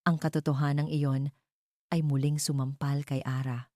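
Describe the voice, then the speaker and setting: Woman narrating a love story drama, low mellow voice, dry close sound. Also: little pitch movement, moderate pace, no room sound.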